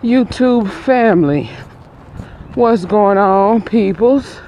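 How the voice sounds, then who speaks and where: A man's voice: high-pitched, lively intonation, slow pace, darkish tone, a little hiss or hum, poor audio. A man in his twenties vlogging casually while walking outdoors on a street, talking through an earbud mic and rambling with frequent restarts. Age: 20s. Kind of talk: casual vlog. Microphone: earbud headset mic.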